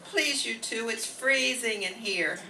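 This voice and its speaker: A woman's voice: on the high side, slow, pushed and bright. A woman playing a practical, soothing wife with a coaxing manner in a radio comedy play. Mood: mildly exasperated. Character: practical, soothing wife managing her household. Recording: noisy, distant stage microphone.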